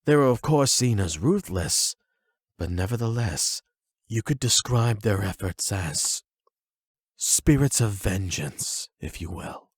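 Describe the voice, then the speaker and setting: A man voicing a calm, erudite therapist in an anime audio roleplay, speaking in a measured, formal, gently probing way. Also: lowish voice, slightly rough, no room sound, studio quality, exaggerated voice. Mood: calm, lecturing. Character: calm, erudite male therapist and demi-human expert.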